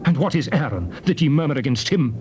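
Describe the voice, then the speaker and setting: A man acts a commanding, indignant prophet in a scripture drama. His slightly high male voice is emphatic and declamatory, over faint hiss. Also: expressive, fast talker, darkish tone, muffled recording, close to an everyday voice. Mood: indignant, rebuking.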